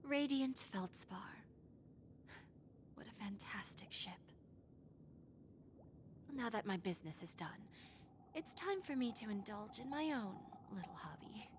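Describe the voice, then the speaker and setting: An actress playing a poised, enigmatic pawnshop boss in a video game, with a breathy, silky, unhurried delivery. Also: female voice, mid-range voice, expressive, background noise, natural voice. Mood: calm, knowing. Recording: loud music under the voice.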